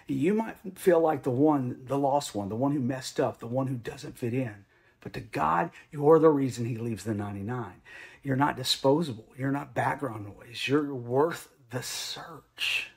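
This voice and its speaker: A middle-aged man hosting a show with a comedic, punchy monologue, animated and playful with slang. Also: mid-range voice, a little slow, gravelly, husky. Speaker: host of a Bible commentary show. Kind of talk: comedic scripted monologue with asides.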